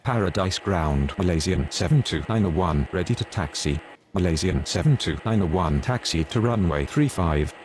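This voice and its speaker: A man plays an airport radio operator in a simulator game with a very deep voice. He is businesslike and clipped, delivering procedural calls over faint background noise. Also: animated, normal speed, darkish tone. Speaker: pilot and air traffic controller radio voice. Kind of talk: scripted aviation radio phraseology.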